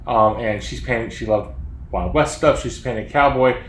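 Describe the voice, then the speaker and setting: A man's voice: mid-range voice, normal speed. A man in his fifties, a children's book author from Florida, chatting casually and joking at his own expense from his home office. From Florida, USA. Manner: chatty, self-deprecating, joking. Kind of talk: casual chat to young readers. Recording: roomy office sound, faint background noise.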